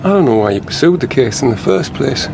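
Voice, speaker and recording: A man's voice: medium pitch, very animated, fast talker, creaky. A man playing a blunt, down-to-earth colleague in a naturalistic radio drama, talking matter-of-factly. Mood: casual. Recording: noisy outdoor and vehicle ambience.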